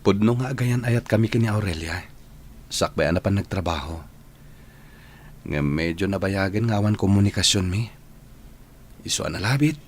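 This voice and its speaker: A man acting as an earnest young village suitor with a slightly low voice. He sounds sincere and patient, in Ilocano radio drama style, with faint background noise. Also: normal speed, long pauses, close to an everyday voice. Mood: earnest, tender.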